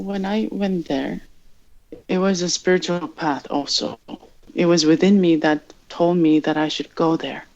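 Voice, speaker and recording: Greenlandic woman in her thirties, journalist guest, unhurried, slightly muffled recording. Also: on the low side, a little mellow. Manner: slow, thoughtful, searching for words. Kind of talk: reflective interview answers. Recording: dull lo-fi sound.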